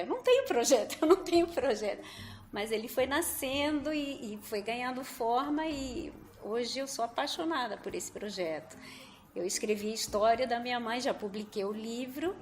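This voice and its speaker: A woman from Colombia with a Colombian Spanish accent, telling her personal story to a group at a meeting, earnest and hesitant. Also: a little high. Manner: earnest, with many hesitant fillers. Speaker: event participant.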